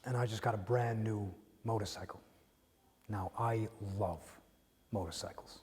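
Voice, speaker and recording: A man's voice: lowish voice, fairly even intonation, darkish tone, in a large hall, close to an everyday voice. A man playing a blunt grieving widower. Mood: wistful. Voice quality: profane, halting with repeated restarts. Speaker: widower speaking to a grieving listener. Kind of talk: emotional dramatic monologue.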